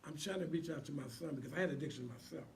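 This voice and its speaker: A man's voice: a little high, rapid-fire, vocal fry, a little hiss or hum. A man guest explaining himself casually in an interview, in a plain, informal, slightly hesitant way. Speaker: actor guest.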